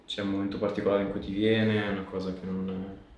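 A low-voiced man works as a chiropractor, giving brief, reassuring instructions. He sounds away from the microphone in a strongly reverberant clinic room. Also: monotone, fast, darkish tone, muffled recording. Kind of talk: hands-on treatment instructions and patient questions. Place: small indoor clinic treatment room. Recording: very echoey room, distant mic, faint noise.

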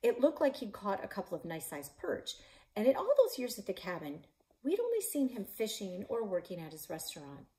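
Brief: expressive woman narrator for a middle-grade read-aloud, pitch that swings widely, recorded at home by a fireplace. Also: brisk, rough recording. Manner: lively, voicing characters with shouts and whispers. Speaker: librarian and author reading her novel aloud. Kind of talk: children's book read-aloud. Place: living room in front of a stone fireplace.

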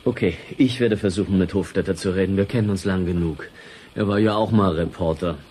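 Actor as deep, grave newsroom boss. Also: male voice, fairly quick, a little mellow, a little hiss or hum, close to an everyday voice. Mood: grave, worried. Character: wary, weighty male newsroom boss. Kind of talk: radio play dialogue.

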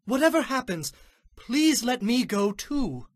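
An eager young messenger, voiced by a man in a scripture audiobook drama. His voice sits very high and bright, and he talks in an earnest, insistent, pleading way. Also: expressive, fairly quick, no room sound, clean studio sound.

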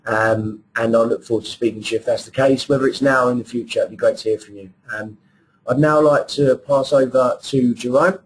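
Man, webinar host, brisk, occasional fillers, mid-pitched voice. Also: fairly even intonation, rough recording. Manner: brisk, slightly hesitant with fillers. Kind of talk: scripted sponsor introduction.

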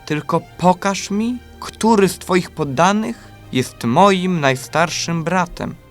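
Man playing a high-voiced earnest young prince. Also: slow pace, faint music behind. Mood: sad, pleading. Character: devoted young prince searching for his brothers. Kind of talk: radio play acting.

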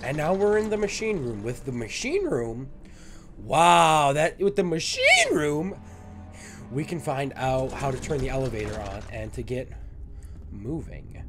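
A young man with a cold talks through a stuffed, nasal voice as he plays, chatting and mocking himself. His pitch swings lively up and down. He is on a headset mic in a small home room, with faint music behind. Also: unhurried. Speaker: gaming video host. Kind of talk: let's play commentary.

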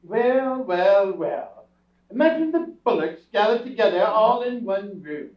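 Man as blustering rich father, high lively voice, sarcastic, exasperated, radio play, roomy stage sound. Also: a little slow. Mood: dry sarcasm. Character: exasperated, grumbling wealthy father of a madcap family. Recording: echoey stage, far mic, muffled.

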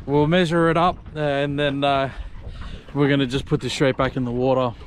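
A man's voice: mid-range voice, little pitch movement, normal speed. An Australian man in his thirties, narrating a fishing trip to an action camera on a small boat, chatty and upbeat. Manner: upbeat, chatty, exclaims at the catch. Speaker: fishing vlogger. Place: small boat on an open inlet, outdoors. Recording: outdoor recording with wind and water noise. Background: water, wind and boat noise.

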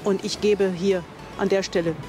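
A woman's voice: mid-range voice, level tone, moderate pace, dark tone, faint music behind. An older woman giving a chatty, enthusiastic on-camera tour of a campsite outdoors, thinking aloud and correcting herself as she goes. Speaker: vlogger touring a campsite. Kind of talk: casual on-camera tour commentary.

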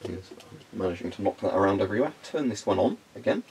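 A man's voice: sits low, animated, fast, darkish tone, slightly rough. A young man with a congested, phlegmy voice, casually demonstrating equipment in a carpeted room and rambling with frequent ums. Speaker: hobbyist video host.

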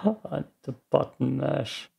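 Austrian guy doing a let's play, slow n croaky, mutters and swears a bit. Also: medium pitch, lively intonation, warm tone. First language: German. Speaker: gaming streamer. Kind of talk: casual let's play commentary.

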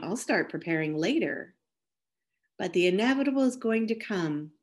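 A woman minister reading scripture and a devotional reflection in a careful, measured way. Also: lowish voice.

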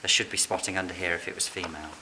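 Low-voiced man with a quiet manner. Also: rapid-fire. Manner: calm, quiet, hands-on explaining with fillers. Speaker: licensed bird ringer. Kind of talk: explaining fieldwork while handling birds. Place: open farm barn on straw, outdoors air. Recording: noisy outdoor camera recording.

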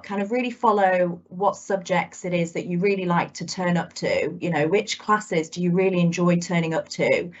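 A woman in her thirties, a guest speaker talking to students over a video call, chatty and full of "kind of" fillers. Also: on the low side. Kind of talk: talk to students. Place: indoors at home. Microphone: laptop video call. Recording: muffled video call audio.

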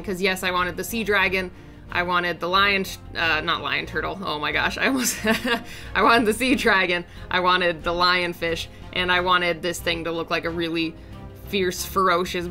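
A young woman with a sore, raspy throat chatting in a casual voice-over as an art channel host, upbeat and rambling with small fillers. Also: fairly low pitch. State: sore throat, raspy and deeper than usual. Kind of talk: casual voice-over narration of a drawing timelapse.

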